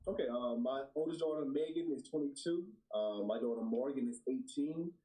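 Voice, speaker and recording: A man, a guest answering questions in an earnest, relaxed way. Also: medium pitch, fairly quick, rough recording. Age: 40s. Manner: relaxed, earnest, laughs along. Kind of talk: casual interview chat.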